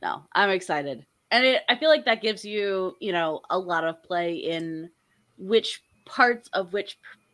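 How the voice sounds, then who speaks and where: A young woman hosts a relaxed drawing stream, bubbly and quick to laugh. Her voice is bright and swings widely in pitch, with a slightly stuffed-up, nasal sound from a cold. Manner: bubbly, chatty, lots of laughter. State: congested with a cold, a bit nasal. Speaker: host and artist. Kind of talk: casual chat while drawing on stream.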